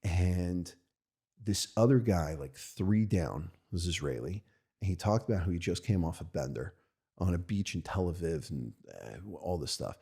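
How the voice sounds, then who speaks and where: An American man, a rabbi, telling stories from his own life in a candid, halting way, often checking in with "right?". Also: deep voice, expressive, dark tone, slightly rough. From United States. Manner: thoughtful and halting, many restarts and "right?" tags. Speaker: podcast guest, rabbi. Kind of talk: candid conversational storytelling.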